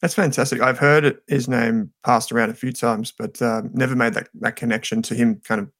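A man's voice: medium pitch, steady tone, brisk, fairly bright tone, no room sound, clean studio sound. A man hosting an investing podcast, asking questions politely with a few hesitant "uh"s. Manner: curious, polite, slightly hesitant with "uh"s. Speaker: podcast host. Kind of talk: podcast interview.

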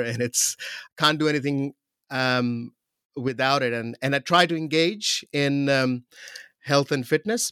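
A man from Pakistan hosting a relaxed academic interview, laughing often and hesitating with fillers and restarts. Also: mid-range voice, clear, resonant tone, no room sound, studio quality. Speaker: interviewer host.

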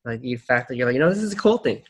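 Podcast co-host, rapid pace, casual interview chat, encouraging and affirming. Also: mid-range voice, big pitch swings, husky. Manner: encouraging, frequent affirming murmurs.

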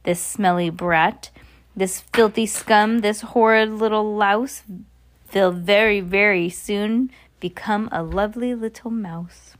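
A woman's voice: high voice, animated, slow pace, pitched above the performer's own voice. A woman voicing a cruel, theatrical witch leader who draws out her announcements in storybook reading. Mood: gloating, scornful.

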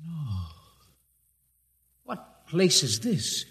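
An actor in a classic radio drama playing a young scientist held captive, orthodox, indignant and fearful, with an earnest, stiff manner. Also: male voice, a little high, huge pitch swings, drawn-out words, a bit bright, husky, heavily acted. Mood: frightened.

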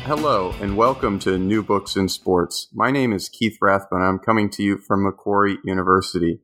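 A man's voice: on the low side, steady tone, normal speed, fairly bright tone, soft background music. A man hosting a book interview podcast, hesitant and repeating words as he asks questions. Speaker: podcast host.

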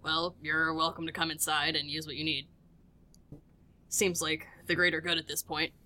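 A woman playing a composed male ranger director with a measured, matter-of-fact delivery. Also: deep voice, level tone, sharp tone, voice pushed down.

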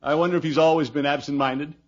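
An actor playing a jovial, bluff middle-aged uncle with theatrical delivery in a 1950s radio comedy. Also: male voice, a little high, steady tone, fast talker, natural voice. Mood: wry. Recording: muffled, noisy vintage radio recording.

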